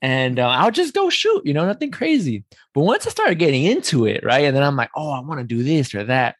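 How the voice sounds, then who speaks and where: A man's voice: a little high, very animated, brisk, dry recording, studio quality. A man hosting a casual interview, thinking aloud in a rambling way and repeating words for emphasis. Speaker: podcast host.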